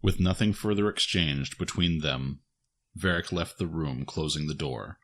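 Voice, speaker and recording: A man with a husky voice reading scripted fiction as a narrator. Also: rumbling low, unhurried, a bit bright. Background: music.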